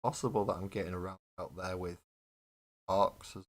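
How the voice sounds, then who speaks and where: Chatty deep-voiced man. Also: steady tone, moderate pace. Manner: chatty, laughs often, talks to viewers in chat. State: coughing. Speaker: mini painting livestream host. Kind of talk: casual chat while building models.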